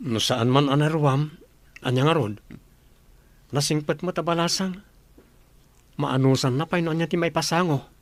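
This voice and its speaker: A man's voice: on the high side, normal speed, long pauses, dry recording, close to an everyday voice. An actor playing a kind, attentive young working man in an Ilocano radio drama. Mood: thoughtful.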